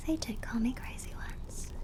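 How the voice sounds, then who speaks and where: A woman's voice: moderate pace, close to an everyday voice. Woman playing a whispery, doting plague doctor girlfriend. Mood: tender, soothing, unhinged. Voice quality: breathy, often whispered. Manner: theatrical, affectionate, quaint turns of phrase. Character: clumsy, doting, slightly mad female plague doctor girlfriend. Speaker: ASMR roleplay performer. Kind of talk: ASMR roleplay, scripted character acting.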